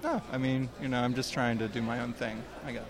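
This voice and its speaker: A young man speaks as a guest in a casual interview. His voice sits at a medium pitch, and he answers haltingly with many ums and pauses. The recording was made outdoors. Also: steady tone, crowd noise. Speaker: guest, comics student.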